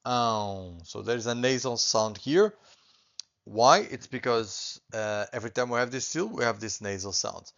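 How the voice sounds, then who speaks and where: Middle-aged Portuguese man with an accent, patient beginners' teacher, mid pitch, slightly dull lo-fi sound. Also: a little slow, vocal fry, a bit bright. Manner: patient, reassuring, repeats points, often adds okay. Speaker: language teacher. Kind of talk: online language lesson.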